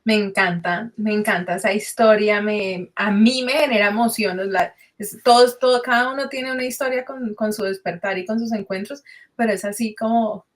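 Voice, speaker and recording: A woman hosting a podcast interview, enthusiastic and halting, restarting her sentences as she frames a question. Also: medium pitch, steady tone. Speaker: podcast host.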